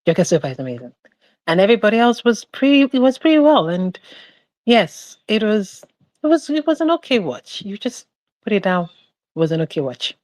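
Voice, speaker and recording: A woman's voice: mid-range voice, very animated, moderate pace, a little mellow, no echo. A woman giving her opinion on a film podcast, chatty and meandering, with lots of "like" and restarts. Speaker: podcast panelist. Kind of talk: casual opinion chat.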